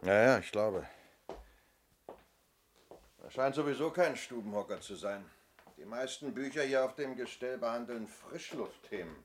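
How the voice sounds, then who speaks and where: An actor playing a methodical police inspector in a 1970s radio play, measured and probing, with small hesitant lead-ins to his questions. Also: mid-range voice, lively intonation, close to an everyday voice. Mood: musing. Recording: noisy old radio play recording.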